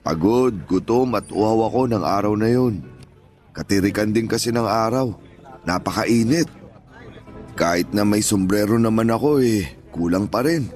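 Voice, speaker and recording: A man's voice: fairly low pitch, darkish tone, natural voice. An actor playing a kindly, hardworking older father and former street vendor in a Tagalog audio drama, with a Filipino accent and reassuring, plain-spoken manner. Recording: music bed behind voice.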